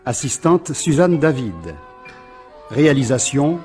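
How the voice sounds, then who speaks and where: A man's voice: mid-range voice, sing-song, slow. A man working as a radio announcer, reading scripted drama credits formally. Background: music.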